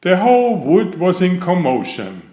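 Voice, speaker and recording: A man reading a children's story aloud in a measured, expressive storytelling manner. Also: sits high, echoey room.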